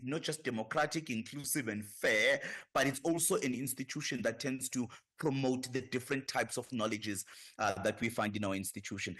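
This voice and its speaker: A man from South Africa with a South African accent, a professor guest on a radio talk show, speaking with impassioned emphasis in building lists. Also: mid-range voice. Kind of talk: radio discussion.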